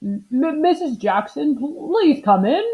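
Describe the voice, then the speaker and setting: Lady reading as a stern doctor guy, firm and clipped, slow, a bit dull sounding. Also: high-pitched, expressive, voice pushed up, full character voice. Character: stern busy male doctor.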